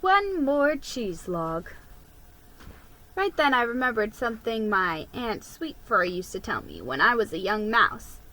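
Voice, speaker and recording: A woman giving an expressive, theatrical reading of a children's story. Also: a little high, a little slow, rough recording. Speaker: storybook reader.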